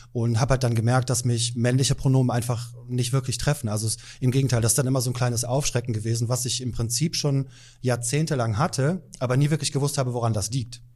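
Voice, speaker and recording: A man's voice: mid-range voice, a little mellow. A man in his forties explaining himself earnestly as a guest on an interview podcast, recorded indoors in a shop. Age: 40s. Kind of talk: conversational interview.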